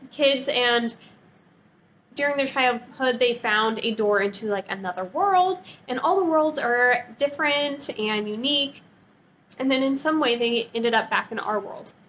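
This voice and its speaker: A woman's voice: high-pitched, a bit bright, a little hiss or hum, low-quality recording. A woman talking in a casual, chatty vlog style, with an enthusiastic, gushing and informal manner. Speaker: book review vlogger.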